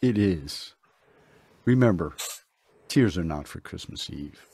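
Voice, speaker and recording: An actor playing a calm, kindly, mysterious doctor in an old-time radio drama, speaking gently and reassuringly. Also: male voice, low voice, takes it slowly, slightly rough, full character voice.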